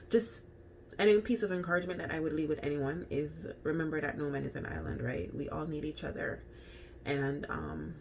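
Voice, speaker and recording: A woman's voice: sits low, slight background noise. A woman from Jamaica with a Jamaican accent, chatting casually to camera as a food vlogger, rambling with frequent ums and restarts.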